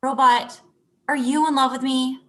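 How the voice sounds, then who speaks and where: A woman's voice: sits high, fairly even intonation, moderate pace, lightly acted. A young woman playing a polite, patient thirty-year-old businesswoman in a comedy script read over a video call. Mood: earnest. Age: young adult. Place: indoor room at home, video call. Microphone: computer mic on video call.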